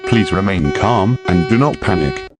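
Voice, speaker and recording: A man's voice: on the low side, huge pitch swings, unhurried, loud music behind the voice. A man's stiff, synthetic-sounding voice reading a formal scripted emergency broadcast announcement.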